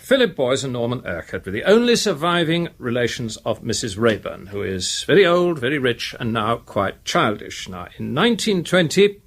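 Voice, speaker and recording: An actor playing an orderly English police inspector in a British radio drama, summing up in a precise, formal and measured manner. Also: male voice, medium pitch, very animated, fairly bright tone. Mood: methodical, earnest.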